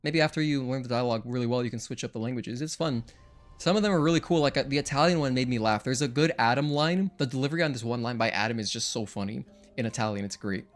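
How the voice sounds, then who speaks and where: A young man whose first language is Spanish talks casually through his gameplay, thinking out loud and counting off combo hits. His voice sits at a middling pitch. Faint music plays behind him. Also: fast. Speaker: streamer.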